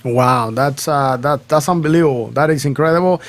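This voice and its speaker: A man's voice: medium pitch, moderate pace. A man hosting a bilingual morning radio show, talking with many fillers and restarts.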